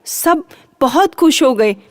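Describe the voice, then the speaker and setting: A woman's voice: sits high, animated, slow, no echo, clean studio sound. A woman narrating a children's bedtime story, repeating and drawing out phrases for drama.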